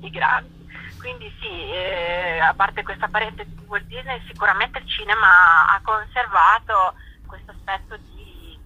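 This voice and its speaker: A woman's voice: mid-range voice, very animated, measured pace, pushed and bright, highs cut off. A woman explaining things thoughtfully and emphatically in an interview, as a festival director.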